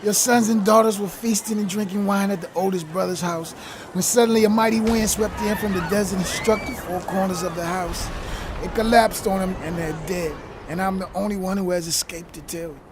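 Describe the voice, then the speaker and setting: An actor playing a distraught messenger bearing terrible news in a dramatized audio Bible, urgent and anguished. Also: male voice, high-pitched, steady tone, a little fast, noisy background, close to an everyday voice.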